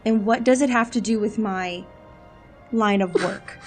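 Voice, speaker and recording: A woman playing a dry, no-nonsense agent in an audio drama, deadpan and businesslike. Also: medium pitch, measured pace, soft background music, lightly acted. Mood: stern. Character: dry, no-nonsense woman agent who hunts contraband sellers.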